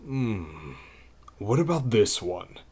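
A man's voice: lowish voice, very animated, takes it slowly, a little mellow, breathy edge, exaggerated voice. A man voicing a jovial, helpful talking wardrobe in an audio fairy-tale drama. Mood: helpful, fussing.

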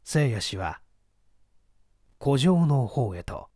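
Male narrator for mystery audiobook: formal, measured storytelling, expressive pitch movement, dry recording. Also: dry recording. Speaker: audiobook reader. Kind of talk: scripted literary narration of a period detective novel.

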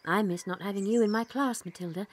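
A woman playing a kind young schoolteacher in a children's audio drama, soft-spoken and reassuring, with a British accent. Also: medium pitch, fast talker, a little hiss or hum, lightly acted. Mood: tender, warm.

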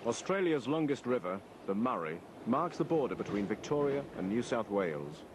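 A man's voice: mid-range voice, big pitch swings, normal speed, very noisy. A man delivering scripted narration for a travel documentary. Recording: voice-over with music behind.

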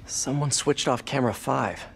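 A young man with an American accent playing a wry, quick-witted superhero in a video game, conversational and slightly awkward. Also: mid-range voice, gravelly, natural voice. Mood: wry, focused. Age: young adult. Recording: game music behind the voice.

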